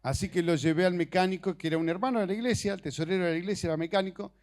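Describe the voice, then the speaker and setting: A middle-aged male preacher with a notably high-pitched voice, a native speaker of Portuguese. He delivers an informal sermon built on personal stories, talking conversationally and haltingly, with joking asides. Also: fairly even intonation, darkish tone. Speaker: preacher and missionary.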